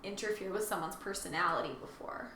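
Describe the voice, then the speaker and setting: A woman's voice: on the low side, fast, pushed and bright. A woman co-hosting a casual discussion, explaining her thoughts in a tentative, conversational way. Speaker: co-host. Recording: echoey room, faint background noise.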